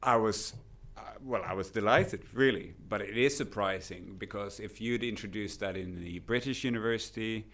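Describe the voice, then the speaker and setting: A man from Sweden, a native Swedish speaker, talks through a casual podcast as the guest. His voice sits very low and has a gravelly grain. He speaks in a measured way and drops in dry, witty asides. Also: a bit bright. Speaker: guest lecturer.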